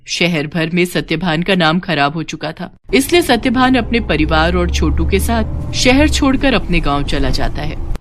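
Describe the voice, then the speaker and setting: A woman reading a scripted moral story as narrator, in an expressive storytelling manner. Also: lowish voice, slight background noise.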